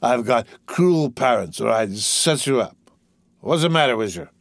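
A man's voice: mid-range voice, animated, moderate pace, no echo, studio quality, close to an everyday voice. A man voicing a drunk, merry rich young man of about eighteen, with slurred, laughing speech. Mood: tipsy.

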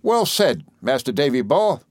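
Man doing a high-pitched, boisterous young fisherman. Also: animated, unhurried, dry recording. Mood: gleeful. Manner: whooping, exclamatory, repetitive. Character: boisterous, simple, good-natured young fisherman.

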